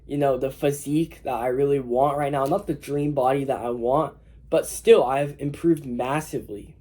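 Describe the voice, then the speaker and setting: A man's voice: a little high, mellow. A young man in his late teens with a mild cold, giving casual, rambling self-improvement advice to his viewers. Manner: informal, loose and rambling, full of "you know". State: slightly sick with a mild cold. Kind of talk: casual advice vlog to camera.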